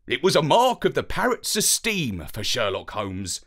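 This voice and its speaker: Animated male bedtime storyteller. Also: mid-range voice, moderate pace. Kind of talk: scripted first-person children's story narration.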